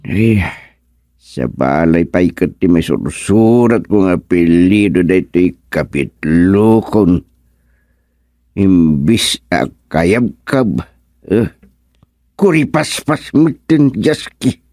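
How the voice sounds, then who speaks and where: A man's voice: low voice, animated, slow pace, warm tone, no room sound, clean studio sound, exaggerated voice. A man playing a grumbling, self-important old husband in an Ilocano radio comedy, muttering to himself with frequent exclamations.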